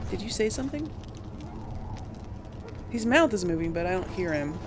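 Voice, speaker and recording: A woman's voice: fairly low pitch, expressive, normal speed, noisy background. A woman hosting a gaming video, giving casual playthrough commentary and musing aloud hesitantly.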